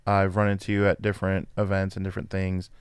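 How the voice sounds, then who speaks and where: A man's voice: low-pitched, monotone, quick pace, clean tone, no room sound. A man hosting a podcast, chatting casually and laughing easily with his guests. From California family roots. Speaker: podcast host. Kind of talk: casual interview chat.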